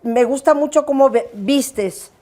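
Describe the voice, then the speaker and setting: A woman's voice: high-pitched, unhurried, mellow. A woman interviewing a guest in a familiar, affectionate way. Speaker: interviewer, channel host. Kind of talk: friendly interview chat.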